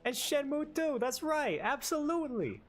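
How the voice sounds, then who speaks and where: A man's voice: extremely high pitch, sing-song, normal speed, soft background music, pitched above the performer's own voice. A man putting on a bad fake Italian accent, playing a relative who teasingly scolds "Pa". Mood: boasting. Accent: exaggerated fake Italian. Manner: teasing, theatrical, sing-song scolding.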